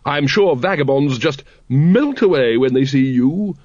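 A man voicing a brisk, jolly upper-class lady in an audiobook. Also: mid-range voice, lively intonation, darkish tone, dry recording, lo-fi sound. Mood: excited. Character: posh, hearty, cheerful upper-class lady.

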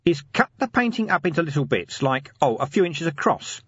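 A man performs a cheeky, scheming watchman from a fantasy storybook. He rambles eagerly in slangy speech, pitched up and lively, with a slightly rough edge. The sound is dry and a little dull. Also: a little fast, dry recording, exaggerated voice. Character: Nobby, scheming cheeky low-ranking city watchman.

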